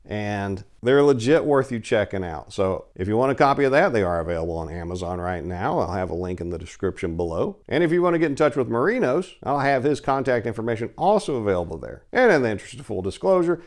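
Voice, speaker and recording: A man with a fairly low voice performs as a storyteller. His delivery is theatrical and happily hammed up, aimed straight at his listeners. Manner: theatrical, hammy. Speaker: narrator and story editor. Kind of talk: dramatic story narration and informal talk to listeners.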